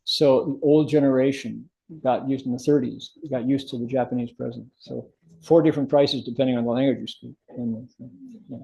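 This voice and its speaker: An older man teaches a class in a relaxed, chatty way, breaking off and restarting his sentences as he talks. His voice is mellow and a little dull, as if recorded with a modest mic. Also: mid-range voice, normal speed, gravelly. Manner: chatty, affirming, many restarts. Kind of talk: casual class discussion.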